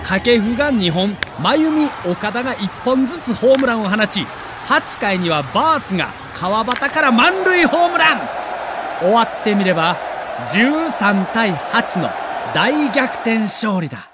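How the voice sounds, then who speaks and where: High, animated male documentary narrator, Kansai accent. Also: unhurried, heavy background noise. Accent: Kansai Japanese. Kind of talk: dramatic scripted narration.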